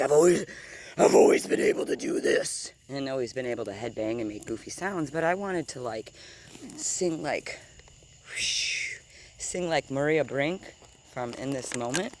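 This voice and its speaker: A deep-voiced woman in her thirties telling a rambling, digressive story while walking outdoors, swearing casually and sometimes dropping to a whisper. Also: gravelly, darkish tone, a little hiss or hum. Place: outdoors on grass at night with insects.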